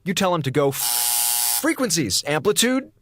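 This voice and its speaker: An actor playing a flippant, sarcastic slacker communications officer on a space station in an audio drama. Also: male voice, a little high, sing-song, normal speed, background noise. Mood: wry, sarcastic.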